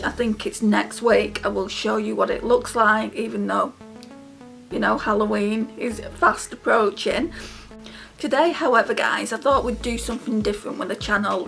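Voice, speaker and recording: A woman's voice: mid-range voice, a bit bright. A British woman talking casually to her viewers as a beauty vlogger, in a rambling, chatty way. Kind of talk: casual chatty makeup vlog.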